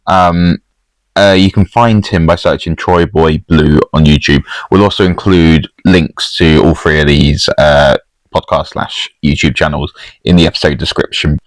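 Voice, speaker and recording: A man's voice: very deep voice, fairly even intonation, unhurried, no room sound, rough recording. A man hosting a podcast, speaking in casual chat and commentary. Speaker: podcast host.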